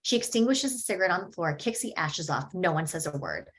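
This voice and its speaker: A woman reading the stage directions at a screenplay table read in a steady, measured way. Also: on the low side.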